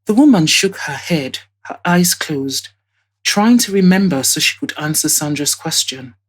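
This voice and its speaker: Female narrator, deep register, husky tone, scripted first-person storytelling, audio drama. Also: expressive, a little slow.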